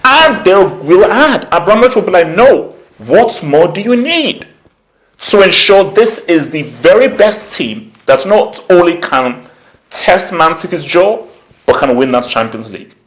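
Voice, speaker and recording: A man's voice: high voice, big pitch swings, a little slow, a bit bright, low-quality recording. A man giving casual, opinionated football commentary as a vlog host, slangy and conversational, repeating points for emphasis. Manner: slangy, conversational, emphatic repetition, acts out imagined quotes. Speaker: football YouTuber, channel host.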